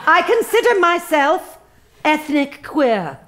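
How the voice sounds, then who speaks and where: An actress playing a smug, self-righteous human resources head in a satirical audio comedy, speaking earnest, patronizing corporate jargon. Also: female voice, sits high, very animated, takes it slowly, background noise, full character voice. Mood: defensive, indignant.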